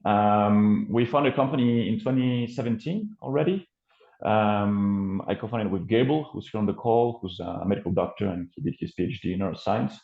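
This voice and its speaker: A man's voice: on the low side, moderate pace, vocal fry. A man with a French accent presenting his company's work on a video call, hesitant, with many fillers and restarts. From France. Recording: muffled roomy call audio.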